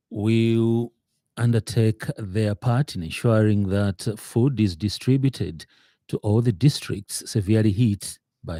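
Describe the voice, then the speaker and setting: A man with a Zambian English accent reading a radio news bulletin in a measured, formal way with occasional hesitations. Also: fairly low pitch, dark tone, no room sound. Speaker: radio newsreader. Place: radio studio.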